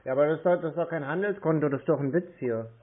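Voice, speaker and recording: A man's voice: on the high side, very fast. A man in a casual phone conversation over a narrow line, speaking bluntly with a naive air. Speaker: call recipient baiting phone scammers.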